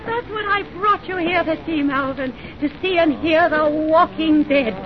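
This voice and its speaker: An actress playing a vengeful, insinuating bride with deliberate theatrical delivery in an old-time radio suspense melodrama. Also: female voice, a crowd in the background. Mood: vengeful, frenzied. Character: cold, vengeful bride trapping her brother's tormentor. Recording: muffled, noisy vintage radio recording with rumble.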